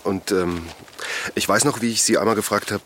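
A middle-aged man acting a calm father of two in an audio drama, giving short, dry answers. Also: lowish voice, fairly quick, a little hiss or hum, lightly acted. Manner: calm, dry, brief replies. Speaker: local villager.

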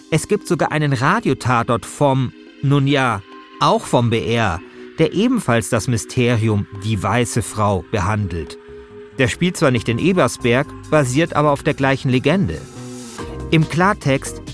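A man narrating a scripted first-person podcast in a wry, self-deprecating manner. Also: medium pitch. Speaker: host and narrator.

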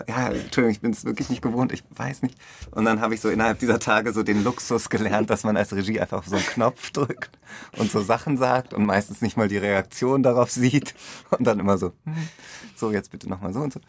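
A man giving casual, reflective interview answers as a theatre director, feeling for his phrasing and often correcting himself. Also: on the low side. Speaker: theatre director interviewed about a radio play.

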